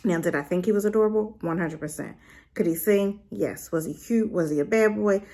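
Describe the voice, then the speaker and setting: A woman's voice: mid-range voice, brisk. A woman casually reviewing a drama in a chatty, rambling way, full of fillers and asides. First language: not Korean. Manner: animated, with rhetorical questions.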